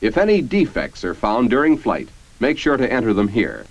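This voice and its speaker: An American man reading scripted 1950s military training-film narration in a formal, measured, instructional manner. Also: mid-range voice, wildly swooping pitch, no room sound. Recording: dull, band-limited 1950s film soundtrack.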